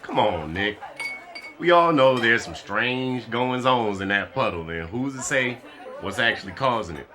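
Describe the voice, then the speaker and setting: A man's voice: medium pitch, expressive, unhurried, a crowd in the background, close to an everyday voice. An actor playing an easygoing local man who half-believes swamp legends in an audio drama. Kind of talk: amateur audio drama acting.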